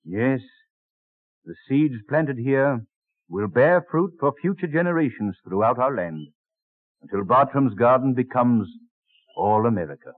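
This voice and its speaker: An actor playing a dignified, enthusiastic American president in a 1930s radio drama, speaking in an oratorical, declamatory way. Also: male voice, mid-range voice, expressive, a little slow, close to an everyday voice. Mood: stirring, proud. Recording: dry, muffled old radio recording.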